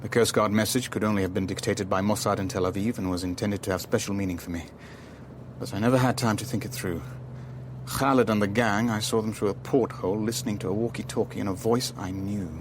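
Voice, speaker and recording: A man acting as a cool, authoritative ship owner in a radio thriller. His voice is on the low side and measured, both in dialogue and in first-person narration, with a slight rumble of noise behind it. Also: fairly even intonation, close to an everyday voice. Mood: tense narration.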